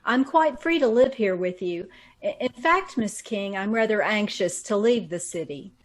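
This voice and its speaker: A woman's voice: mid-range voice, expressive, normal speed, lightly acted. A woman playing a nervous, polite young job seeker in an old-time radio horror play, hesitant and stammering. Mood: hesitant, uneasy.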